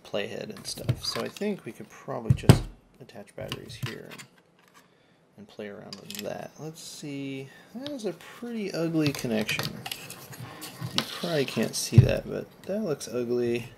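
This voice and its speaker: Repair vlog host, medium pitch with gravelly fry, rambling and thinking aloud over heavy noise and clicks. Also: sing-song, moderate pace. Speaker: video host repairing electronics. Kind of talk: casual off-the-cuff repair commentary. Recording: noisy recording with clicks.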